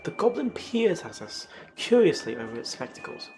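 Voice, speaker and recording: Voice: mid-range voice, big pitch swings, normal speed, darkish tone. A streamer reading a text adventure game aloud as story narration, with casual asides. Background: music.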